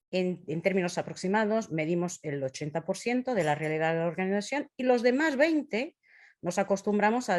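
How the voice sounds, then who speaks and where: A woman in her fifties with a Portuguese accent, speaking a second language haltingly as she leads an informal presentation, searching for words. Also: mid-range voice, darkish tone. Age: 50s. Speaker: presenter leading a talk on impact measurement.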